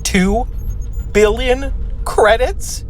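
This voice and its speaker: A woman's voice: on the high side, extremely slow, slight background noise, poor audio. A woman playing an anxious, precise, alarmist spaceship crew member in a sci-fi comedy audio drama. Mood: fretful. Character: anxious, statistics-obsessed spaceship crew member, doom-predicting.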